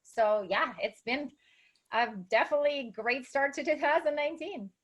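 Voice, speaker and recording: A woman's voice: sits high, normal speed. Motivational woman with a Russian accent. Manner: enthusiastic, motivational speaker tone. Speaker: guest, entrepreneur. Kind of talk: interview conversation.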